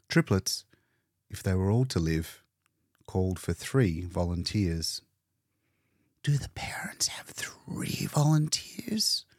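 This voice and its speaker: A man narrating a short story as an audiobook reader, in an expressive scripted style. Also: sits low, no echo, studio quality.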